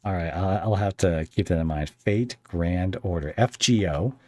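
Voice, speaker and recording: Podcast host, male, deep voice, close dry sound, casual and self-deprecating, wanders through his questions. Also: normal speed, mellow, no room sound. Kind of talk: casual conversational interview.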